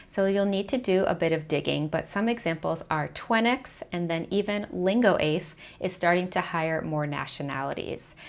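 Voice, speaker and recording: Woman, young adult, lower register, clear list-like explainer for an advice video. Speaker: online teaching coach. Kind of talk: scripted advice video to camera.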